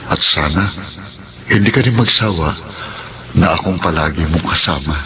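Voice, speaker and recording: Low-voiced devoted boyfriend, noisy recording. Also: male voice, moderate pace, sharp tone. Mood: tender, earnest. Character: devoted, attentive working-class young man in love. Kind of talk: Filipino radio drama acting.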